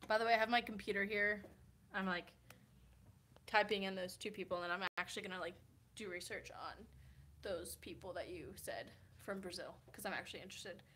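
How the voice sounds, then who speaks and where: A woman's voice: medium pitch, fairly quick, pushed and bright, a little hiss or hum. A woman in her early twenties from Kansas, chatting casually on a livestream from a hotel room, rambling with lots of "like" fillers. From Kansas, USA. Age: 20s. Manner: chatty, rambling, many "like" fillers, laughs often. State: tired. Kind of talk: casual livestream chat reading comments.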